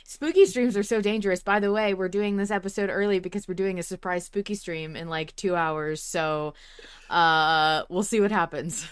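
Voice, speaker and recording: A woman's voice: lowish voice, moderate pace, smooth, no room sound. A woman hosting a casual fan podcast, speaking in an emphatic, mock-dramatic way. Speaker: podcast host.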